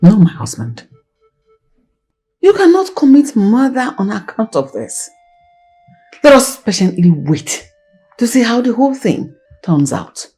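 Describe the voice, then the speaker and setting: An actress playing a patient, pleading middle-aged queen in a film drama, soothing and reasoning in a husky voice. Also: fairly high pitch, wildly swooping pitch, unhurried, pauses often, dark tone, soft background music, heavily acted.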